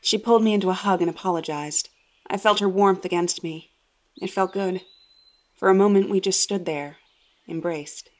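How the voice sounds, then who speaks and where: Woman narrating a scary story. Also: medium pitch. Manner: measured, expressive storytelling. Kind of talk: scripted first-person horror fiction narration.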